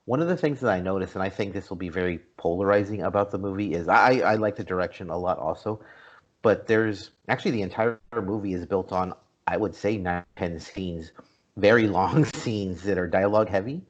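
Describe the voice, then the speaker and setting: A man's voice: deep voice, fairly quick, dull audio. A man talking casually as a podcast host, discussing a film in a conversational way.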